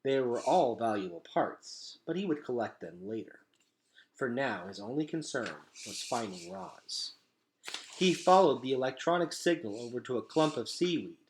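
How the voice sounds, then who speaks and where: A man narrating a children's storybook aloud in a dramatic, emphatic way, dropping to a hush at times. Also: mid-range voice, lively intonation, moderate pace, low-quality recording. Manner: dramatic, emphatic delivery with hushed drops.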